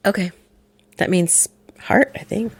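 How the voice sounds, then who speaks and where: Sick lady co-hosting a podcast, voice all gravelly, rambles and corrects herself, dry mic. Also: mid-range voice, a little slow, dry recording. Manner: chatty, self-correcting, laughs often. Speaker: podcast co-host. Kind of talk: casual podcast chat.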